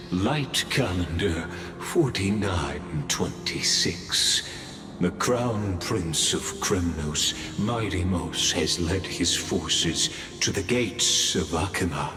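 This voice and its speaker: A man performing a solemn storyteller who narrates an epic game legend in a grave, measured, theatrical manner. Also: deep voice, expressive. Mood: solemn, grand. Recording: long hall reverb, music behind.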